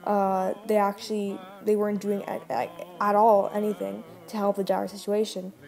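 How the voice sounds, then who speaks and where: An earnest woman radio host of the 1930s, played by a woman talks over clear music in a medium-pitched voice. She is opinionated and insistent, chatting to listeners and pressing points with rhetorical questions. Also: flat delivery, normal speed, darkish tone, close to an everyday voice. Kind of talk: conversational radio monologue.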